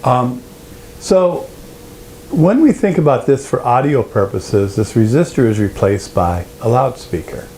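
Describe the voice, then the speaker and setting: A man presenting an informal technical lesson, explaining step by step in a halting, explanatory way. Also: mid-range voice, lively intonation, darkish tone, a little hiss or hum, poor audio. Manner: explanatory, halting, with frequent throat clearing. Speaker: presenter of an audio engineering lesson.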